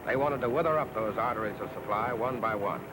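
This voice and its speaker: A man's voice: on the low side, fast. An American man narrating a scripted 1940s wartime newsreel in a punchy, colloquial, patriotic style. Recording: noisy 1940s film soundtrack with artillery.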